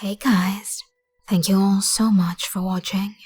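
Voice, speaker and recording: A woman hosting an ASMR channel, giving thanks in a soft, whispery, breathy outro voice. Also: mid-range voice, flat delivery, slow pace, faint music behind.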